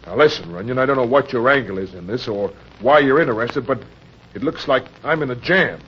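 An actor playing a frightened, down-on-his-luck husband and father in old-time radio crime drama, with an American accent, a husky voice and stammering, pleading delivery. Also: medium pitch, animated, fairly quick, fairly bright tone, a little hiss or hum, natural voice. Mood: anxious confessing. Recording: dull, lo-fi old radio recording.

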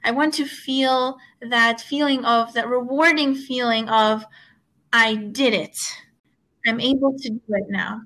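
Bright-voiced earnest woman guest. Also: fairly high pitch, fairly even intonation, measured pace, poor audio. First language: Russian. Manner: earnest, encouraging. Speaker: guest, author and teacher. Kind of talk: conversational interview.

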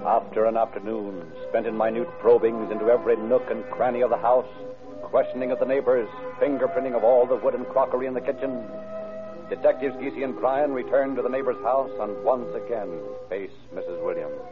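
An actor playing a grave, florid narrator of a vintage radio crime drama, delivering scripted narration dramatically. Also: male voice, mid-range voice, fairly even intonation, fairly quick, lightly acted. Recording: tinny old radio recording. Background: dramatic music.

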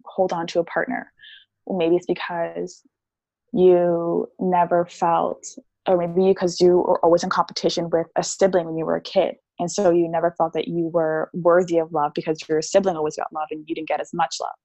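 A woman's voice: fairly low pitch, level tone, moderate pace, dark tone, dry recording, muffled recording. Chatty young woman explaining casually. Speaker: podcast guest, theta healing practitioner. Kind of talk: casual podcast interview.

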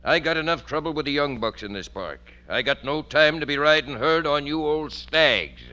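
An actor playing a gruff, slangy, sarcastic American park policeman in an old radio comedy. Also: on the high side, animated, moderate pace, bright, forceful tone. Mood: weary sarcasm. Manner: sardonic, slangy. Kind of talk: 1940s radio sitcom acting. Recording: muffled, hissy old radio recording.

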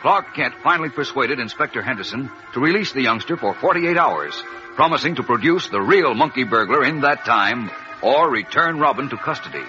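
A man's voice: mid-range voice, lively intonation, brisk, bright, forceful tone. A man narrating a 1940s radio serial in a formal, dramatic storytelling manner. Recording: dull old radio recording with music.

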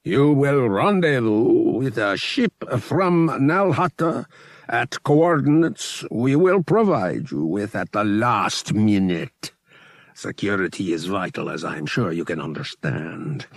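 A man voicing an imperious alien high priest in an audiobook, with formal, deliberate, drawn-out phrasing. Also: sing-song, dry recording, studio quality, exaggerated voice. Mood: brisk authority. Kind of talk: audiobook character voice.

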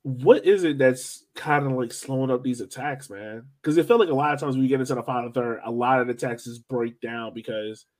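Male sports podcast co-host, medium pitch, informal fan talk with casual slang. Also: brisk, few pauses.

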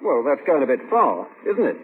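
An actor playing a blunt working-class garage owner with a London accent in an old radio drama, brash, chatty and sardonic. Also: male voice, mid-range voice, very animated, moderate pace, narrow, tinny audio, lightly acted. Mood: cheeky, gossipy. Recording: thin, noisy old radio recording.

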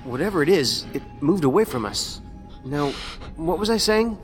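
An actor playing a calm, sardonic trapped man in a sci-fi audio drama, musing wordily with dry humour. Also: fairly high pitch, moderate pace. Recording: music under the voice.

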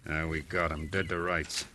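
An actor playing a ruthless, gloating western gunman and double-crosser in an old radio drama. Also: very deep voice. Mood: gloating, menacing. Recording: old radio recording, faint noise.

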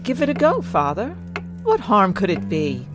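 An actress playing a curious, gently teasing wife and mother in a horror audio drama, polite and playful. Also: medium pitch, huge pitch swings, moderate pace, over music. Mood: coaxing.

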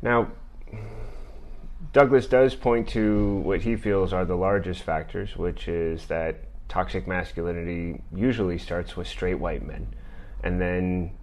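A middle-aged man with a deep voice, hosting a podcast and talking through an argument in a measured, thoughtful way. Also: fairly even intonation. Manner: measured, thoughtful delivery with occasional fillers. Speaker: podcast host. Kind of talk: reading and commenting on an argument.

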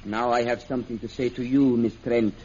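An actor playing an imperious, coldly threatening prince in an old radio serial, speaking formally and deliberately in a husky voice. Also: male voice, medium pitch, little pitch movement, darkish tone, natural voice. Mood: menacing. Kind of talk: 1940s radio serial acting. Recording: dull, lo-fi old radio recording.

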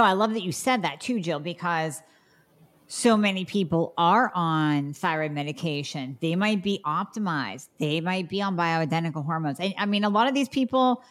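A chatty, enthusiastic woman hosts an interview. Her voice sits somewhat low. She talks in a relaxed, conversational way. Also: normal speed. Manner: chatty, enthusiastic, reads bio aloud. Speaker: podcast host. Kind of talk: casual interview.

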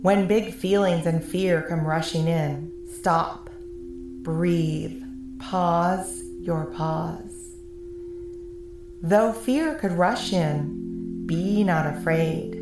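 A woman voicing a wise woman who gives solemn, soothing guidance in a children's story, reciting slowly and deliberately. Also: fairly low pitch, echoey room, music in the background. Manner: measured, deliberate.

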